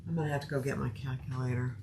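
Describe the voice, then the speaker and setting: A woman's voice: a bass voice, level tone, rapid-fire. A woman with a soothing voice teaching a sewing tutorial on a live stream, thinking aloud as she works and chatting with viewers. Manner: talks to live viewers by name. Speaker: sewing instructor. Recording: audible background noise.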